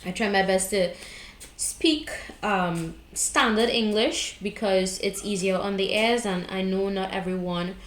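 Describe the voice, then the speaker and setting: A woman's voice: medium pitch, unhurried. Trinidadian woman, tarot reader, blunt chatty advice, echoey room. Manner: blunt, chatty, frequent "okay?" and "right?" check-ins. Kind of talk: casual spiritual advice monologue.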